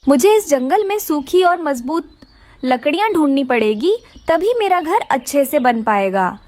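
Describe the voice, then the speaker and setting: Woman voicing a high, plaintive little female bird. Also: lively intonation, measured pace, a little mellow, no echo. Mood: polite, earnest. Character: distressed, hardworking, polite young female bird. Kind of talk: Hindi children's cartoon character voice.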